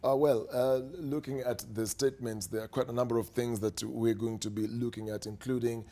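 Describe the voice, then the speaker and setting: A man anchoring live TV news, asking questions in a measured way with frequent fillers. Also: mid-range voice, fairly even intonation, mellow.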